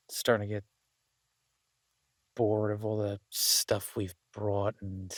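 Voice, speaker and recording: A man playing a charming, restless mafia boss in an audio roleplay, rambling casually and intimately with self-mocking humor, mock boasts and hesitant pauses. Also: lowish voice, no echo, studio quality. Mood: wry, restless.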